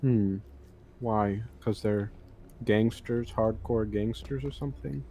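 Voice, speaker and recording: A man's voice: fairly low pitch, slow pace, warm tone, slight background noise. A man playing a boastful, grumbling jailed terrorist in improvised online roleplay. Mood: curious, needling. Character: boastful, grumbling jailed male terrorist, self-declared cool.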